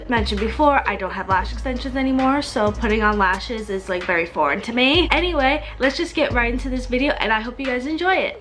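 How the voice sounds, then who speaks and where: A woman's voice: on the high side, fairly bright tone. A woman talking casually as the host of a makeup tutorial, explaining each step in a chatty way. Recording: music behind the voice. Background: music.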